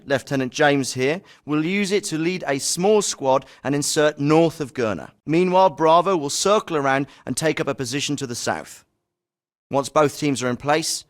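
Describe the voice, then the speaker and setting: An actor voicing a calm, authoritative male special forces commander, giving military briefings in a measured, clipped way. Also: mid-range voice, lightly acted. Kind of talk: video game voice acting. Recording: clean studio voice recording.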